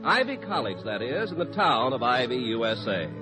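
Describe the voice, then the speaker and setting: A man with an American accent announcing and narrating in polished, measured 1950s radio style. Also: medium pitch, big pitch swings, low-quality recording. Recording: old radio broadcast over loud music. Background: loud music.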